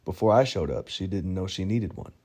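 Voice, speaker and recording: Male narrator, low voice, mellow tone, wry self-mocking first-person storytelling. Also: lively intonation, a little fast.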